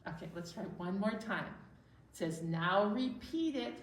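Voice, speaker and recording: Slow-talking woman in a roomy, distant recording. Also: medium pitch, lively intonation. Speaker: children's storytime host. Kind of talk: playful instruction for young children. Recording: roomy, distant mic with background noise.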